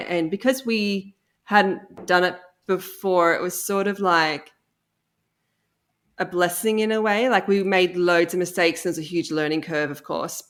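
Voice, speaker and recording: A woman with a slightly low voice, talking as a podcast guest about creative work. Her way of speaking is casual, appreciative and effusive. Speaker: interview guest, audio drama co-creator. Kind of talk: casual interview chat.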